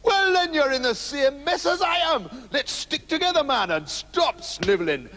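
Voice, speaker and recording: A man's voice: extremely high pitch, very animated, moderate pace, pushed and bright, big-room sound, voice pushed up, exaggerated voice. A man voicing a boastful, rude male bully in a storybook reading, swaggering and cheerfully menacing. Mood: bossy. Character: boastful brutish rude male brute who loves bullying.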